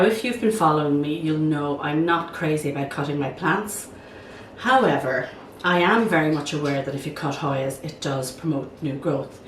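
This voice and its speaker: An older woman talks in a low, croaky voice, hoarse from a cold. She chats eagerly and thinks aloud as she works. She is heard from some way off the mic in an echoey room, with a faint hiss behind her. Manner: chatty, enthusiastic, thinks aloud while working. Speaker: plant channel host. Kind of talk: casual vlog. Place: at home, indoors. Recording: roomy sound from a distance.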